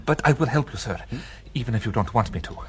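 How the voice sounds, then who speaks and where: A man's voice: mid-range voice, lively intonation, fast talker, natural voice. An actor playing a frightened, grateful waiter in an old radio drama, his voice shaky and urgent, dropping to whispers. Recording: old radio recording with faint hiss.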